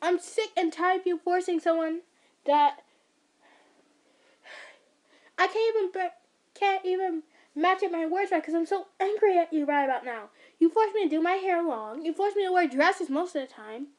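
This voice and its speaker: A woman's voice: very high-pitched, moderate pace, pitched above the performer's own voice. A woman voicing an outraged teenage boy. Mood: furious. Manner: shouting, indignant. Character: angry teenage boy, fed up and defiant.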